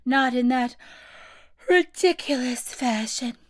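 A woman's voice: high voice, takes it slowly, dry recording. A small, sulky storybook dormouse played by a woman, grumbling and complaining. The words come drawn out and drowsy, with a dry, close sound.

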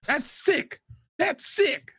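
A young man chatting casually as he reacts to a movie, excitable and fannish, swearing freely, close on a podcast mic. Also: extremely high pitch, drawn-out words. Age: young adult. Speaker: reaction show host. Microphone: close boom-arm podcast mic.

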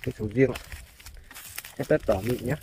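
A man's voice: mid-range voice, a little fast, mellow. A man working as a real estate agent narrates a walk-through tour of farmland, politely addressing viewers, filmed on a handheld phone. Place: outdoors, walking through farmland. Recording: clear background noise, outdoor phone video.

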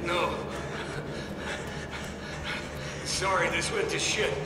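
A man's voice: sits high, fairly even intonation, moderate pace, far from the mic, natural voice. A man playing a grim, vengeful criminal gunman in a video game, curt in manner. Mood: weary. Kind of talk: video game cutscene acting. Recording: very noisy, echoey game audio.

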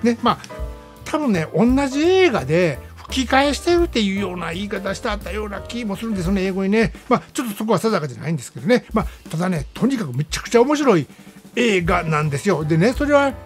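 A man's voice: sits high, very animated, fast talker, faint music behind. A man with a Kansai accent hosting a radio show, chatting casually and emphatically as he reviews films in a monologue. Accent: Kansai Japanese. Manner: chatty, emphatic, peppering phrases with "ne".

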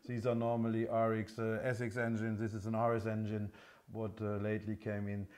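A man in his fifties with a continental European accent, a non-native English speaker explaining things off the cuff in a halting, hesitant way as a workshop presenter. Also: on the low side, monotone, a little mellow. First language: not English. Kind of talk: unscripted product explanation. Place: indoor engine workshop showroom.

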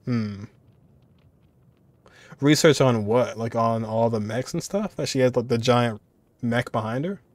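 A man's voice: medium pitch, a little slow, pauses often, dry recording. A man giving casual reaction commentary, musing aloud informally and repeating phrases. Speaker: reaction video creator.

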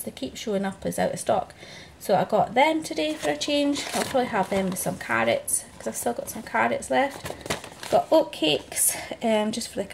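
Woman, casual haul voice-over, slightly high, gravelly fry, faint hiss. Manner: chatty, relaxed, with frequent ums. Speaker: vlogger.

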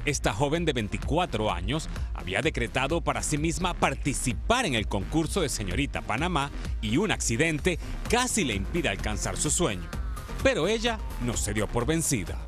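A man voices a scripted TV news report in a polished delivery. His pitch moves animatedly across phrases. Music is clearly audible beneath him. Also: big pitch swings, normal speed. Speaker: narrator of news package. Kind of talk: scripted narration.

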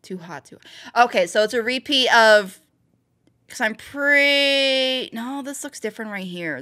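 A woman's voice: mid-range voice, fairly bright tone. A woman hosting a reaction video, thinking aloud in rambling, casual commentary with bursts of exclamation.

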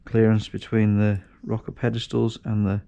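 A man's voice: on the low side, completely flat delivery, moderate pace, creaky, dark tone. A man casually talking through an engine rebuild as he works, thinking aloud and correcting himself. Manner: thinking aloud while working, with restarts and self-corrections. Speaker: home mechanic vlogger. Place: large cluttered garage workshop, indoors. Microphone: chest-mounted action camera.

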